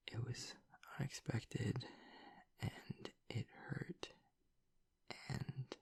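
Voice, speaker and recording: A guarded werewolf boyfriend, acted by a man in an intimate audio roleplay. His voice is a very husky, heavily creaking half-whisper. He speaks slowly and haltingly, vulnerable and grieving beneath a tough front. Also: medium pitch, full character voice. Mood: hurting, reluctant. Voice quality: breathy, gravelly whisper. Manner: halting, reluctant, haltingly confessional.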